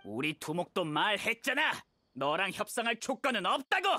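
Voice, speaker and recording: High, blustering young thug. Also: male voice, big pitch swings, normal speed, exaggerated voice. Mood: angry, threatening. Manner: aggressive, blustering, sharp. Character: hot-headed young male mercenary thug. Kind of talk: video game voice acting. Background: faint game music.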